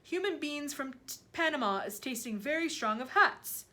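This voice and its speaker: A woman voicing a huge, boastful but friendly storybook giant who speaks emphatically in drawn-out, muddled comic grammar. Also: high voice, animated, bright, forceful tone, pitched above the performer's own voice. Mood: blustering, opinionated. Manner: emphatic, drawn-out, mangled words.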